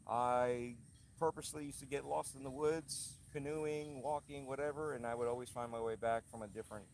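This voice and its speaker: A man with a cold-hoarse voice, hosting a casual outdoor live stream and reading out viewer comments. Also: medium pitch, fairly even intonation, brisk, darkish tone, slight background noise. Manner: rambling, chatty, reads out viewer comments. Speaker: channel host. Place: outdoors at a haunted trail attraction. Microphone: handheld camera rig.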